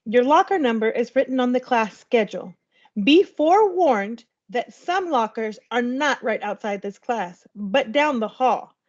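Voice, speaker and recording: A woman reading a storybook in the role of a brisk, firm female schoolteacher giving orderly instructions to a class. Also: on the high side, expressive, a little mellow, dull audio. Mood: instructing.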